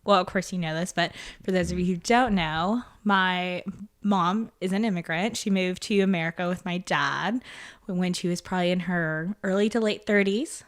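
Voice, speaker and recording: A woman's voice: fairly low pitch, moderate pace. A woman in her twenties, a podcast guest raised in small-town Tennessee, chatting casually, self-mocking and laughing often. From small-town Tennessee, born in Indonesia. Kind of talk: casual interview chat.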